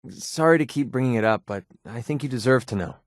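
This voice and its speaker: Man playing a cynical, animated American young mercenary. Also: mid-range voice, fairly quick, no echo, clean studio sound, natural voice. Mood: sarcastic. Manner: sarcastic, streetwise, casual delivery. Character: cynical, wisecracking young male mercenary sniper in a post-apocalyptic wasteland. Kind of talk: video game companion dialogue.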